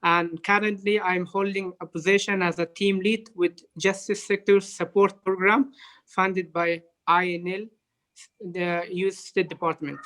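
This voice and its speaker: A man with an Afghan accent giving unscripted interview answers as a guest, courteous, halting and hesitant. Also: sits high, little pitch movement, a bit bright, low-quality recording. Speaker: interview guest, development professional.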